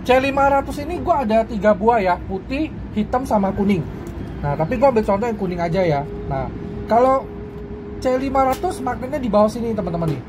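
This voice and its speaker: A woman's voice: a little high, fairly quick, noisy background. A woman casually demonstrating flashlights on a table, talking to her viewers like friends. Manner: relaxed Jakarta slang, addresses viewers as friends. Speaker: product reviewer. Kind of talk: casual hands-on product demo.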